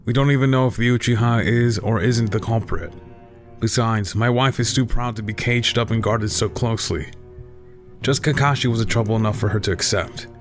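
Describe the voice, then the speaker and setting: A man's voice: mid-range voice, moderate pace, soft background music, natural voice. An actor playing an earnest, protective and resolute young male ninja in an audio drama. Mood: firm, reasoning. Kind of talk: fan audio drama acting.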